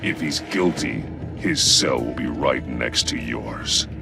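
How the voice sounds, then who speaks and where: A brooding masked vigilante in a video game. His voice is rock-bottom low and full of heavy gravel, and he speaks in terse, stern, distrustful phrases. Music plays clearly behind him. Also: slow pace, full character voice. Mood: grim. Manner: terse, grim.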